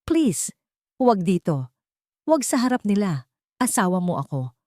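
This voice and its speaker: Woman as arrogant cornered husband, desperate, raised pitch, close dry sound, audiobook drama. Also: big pitch swings, moderate pace, a little mellow, dry recording, close to an everyday voice. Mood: desperate pleading. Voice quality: hoarse, cracking when pleading. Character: greedy abusive husband, shouting then desperately pleading, cornered.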